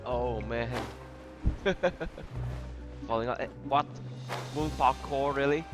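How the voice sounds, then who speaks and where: A man's voice: mid-range voice, fairly even intonation, takes it slowly. A man giving casual playthrough commentary, muttering and trailing off, often breaking into giggles. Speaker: game streamer. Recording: game music behind the voice.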